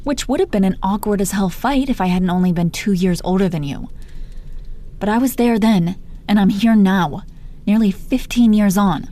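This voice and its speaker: A woman's voice: medium pitch, normal speed, mellow, close to an everyday voice. A woman playing a teasing, protective female supervillain in her thirties in an intimate roleplay audio drama, foul-mouthed but coaxing, full of pet names. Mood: firm, knowing. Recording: noticeable background noise.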